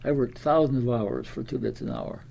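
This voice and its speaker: A man of ninety with an American Midwestern accent, reminiscing in a halting, rambling way with repeated words. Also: medium pitch, vocal fry, mellow, slight background noise. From Des Moines, Iowa, United States. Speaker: interviewee, World War Two veteran. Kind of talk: oral history reminiscence.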